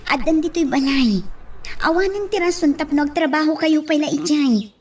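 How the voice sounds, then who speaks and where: A woman's voice: high voice, normal speed, a little mellow, lightly acted. A woman playing a concerned grown daughter chiding in an Ilocano radio drama.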